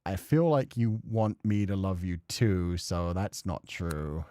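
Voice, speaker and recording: A man's voice: low-pitched, animated, slow, mellow, no echo. A man doing casual let's play commentary, muttering sweary asides and reading bits of game text aloud to himself. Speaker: gaming streamer.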